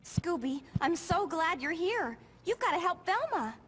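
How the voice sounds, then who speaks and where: A woman voicing a sweet, concerned young sleuth in a cartoon game, earnest and expressive. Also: extremely high pitch, a little slow, exaggerated voice. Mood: urgent. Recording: background music.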